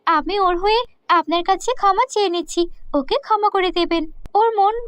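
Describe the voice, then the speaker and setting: Kind, humble cartoon schoolgirl played by a woman, very high pitch, polite pleading manner, dry sound. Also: a little fast, fairly bright tone, no room sound.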